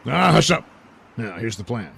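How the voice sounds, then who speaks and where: Casting: man to play a self-important, bossy costumed hero for superhero radio comedy; gravelly, bombastic delivery. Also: medium pitch, big pitch swings, normal speed, sharp tone, a little hiss or hum, exaggerated voice. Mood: scheming, matter-of-fact.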